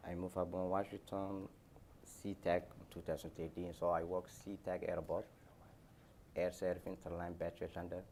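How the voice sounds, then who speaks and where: A man from Somalia with a Somali accent, speaking haltingly on a panel as an airport worker. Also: sits low, flat delivery, a little mellow, slight background noise. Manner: halting, non-native phrasing. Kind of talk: panel discussion answers.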